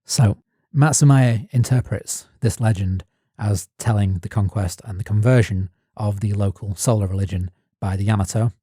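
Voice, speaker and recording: A man co-hosting a podcast, reading his prepared notes as measured narration. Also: on the low side, dark tone, slightly rough, no room sound, studio quality. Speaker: podcast co-host. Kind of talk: scripted narration.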